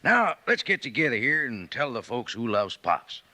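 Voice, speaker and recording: A man's voice: medium pitch, big pitch swings, normal speed, sharp tone. A man announcing a sponsor's ad on 1950s radio, hearty and chummy.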